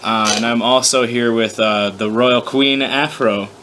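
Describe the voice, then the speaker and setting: A man's voice: mid-range voice, steady tone, unhurried, bright, forceful tone. A man with an ordinary mid-range voice hosts a loose, unscripted story reading. He breaks off to comment in a self-deprecating, casually profane way. The amateur recording has noticeable background noise. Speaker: host reading own fan story aloud.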